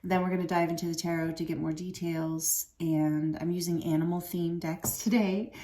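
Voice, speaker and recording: A woman's voice: lowish voice, level tone, moderate pace, mellow. Rambling woman reading tarot cards. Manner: rambling, meandering, with many fillers and self-corrections. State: throat a little rough from fighting off a cold. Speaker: tarot reader. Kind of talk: casual spiritual card reading.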